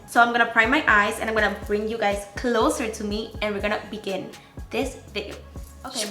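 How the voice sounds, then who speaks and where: A young woman of about twenty with a Latin American Spanish accent speaks in a fairly high voice. She is chatty and excited, talking slangily like a beauty YouTuber. The room is clearly echoey, with some music behind her. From Venezuela, grew up in the Dominican Republic. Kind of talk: casual makeup vlog to camera. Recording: roomy echo.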